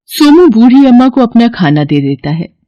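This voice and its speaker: A woman's voice: a little high, sing-song, moderate pace, mellow, lo-fi sound. A woman narrating a scripted children's bedtime story as the storyteller.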